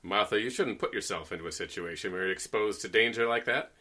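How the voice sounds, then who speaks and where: An actor playing an eager, protective newspaper reporter in an old-time radio drama. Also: male voice, fairly low pitch, quick pace, sharp tone. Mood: fond, protective.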